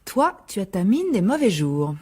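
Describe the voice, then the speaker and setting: A woman's voice: medium pitch, very animated, normal speed, a little mellow, husky. An actress playing a defensive, indignant mother in a French radio drama, incredulous and dismissive in her retorts. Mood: wary.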